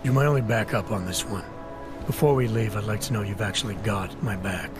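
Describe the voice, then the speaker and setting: A man's voice: on the low side, fairly quick, over music, close to an everyday voice. An actor playing a terse, skeptical male special agent in a video game, dry and questioning. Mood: dry, guarded. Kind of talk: video game cutscene acting.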